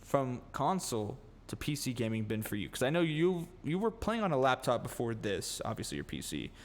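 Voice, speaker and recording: A man's voice: medium pitch, big pitch swings, brisk. A man hosting a casual podcast, joking and teasing his guest and swearing freely. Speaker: podcast host. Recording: long room reverb, faint noise.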